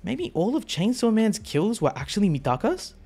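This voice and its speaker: Gossipy high school kid, man reading it, higher voice, bouncy. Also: sing-song, moderate pace, gravelly, warm tone, soft background music, full character voice.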